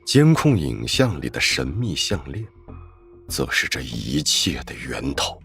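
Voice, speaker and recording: A man giving dramatic scripted narration for an animated fantasy story. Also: extremely low pitch, unhurried, vocal fry, faint music behind.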